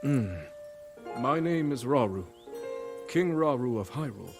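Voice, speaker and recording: A man playing a calm, dignified, gently wry king in a video game cutscene, speaking in a measured way. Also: medium pitch, vocal fry. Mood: wry, courteous. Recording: faint music behind the voice.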